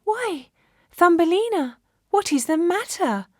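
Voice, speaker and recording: A woman's voice: very high voice, sing-song, unhurried, darkish tone, no room sound, clean studio sound, voice pushed up, exaggerated voice. A woman voicing a grateful, caring male swallow in a fairy tale, speaking in an earnest, tender way. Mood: concerned.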